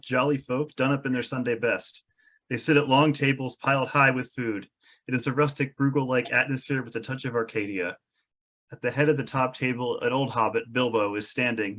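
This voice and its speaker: Measured male narrator, medium pitch. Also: fairly even intonation, a bit bright. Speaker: narrator reading stage directions. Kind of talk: scripted narration at a table read.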